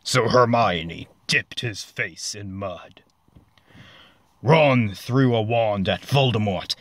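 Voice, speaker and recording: A man narrating a comic story as a dramatic reading, deliberate and mock-serious, with dramatic pauses. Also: fairly low pitch, pushed and bright, no room sound.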